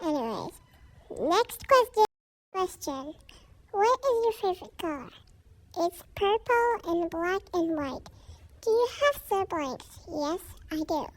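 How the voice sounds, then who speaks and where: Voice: lively intonation, takes it slowly, rough recording. A vlogger from Saudi Arabia answering questions in a casual, giggly, informal way, through a voice effect that makes them sound like a kid. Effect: pitched-up kid voice effect. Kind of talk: casual Q&A chat.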